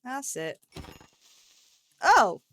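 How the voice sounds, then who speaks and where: A woman's voice: a little high, sing-song, extremely slow, a bit bright, low-quality recording. A woman giving casual gameplay commentary, chatting and thinking aloud to herself as she goes. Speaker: host of a gaming let's play series.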